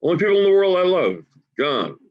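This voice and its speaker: A man in his forties acting a grieving, wry homeless man in a staged play reading, halting and emotional in a conversational way. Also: high voice, very animated. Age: 40s. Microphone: video call. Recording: dull, lo-fi video call audio.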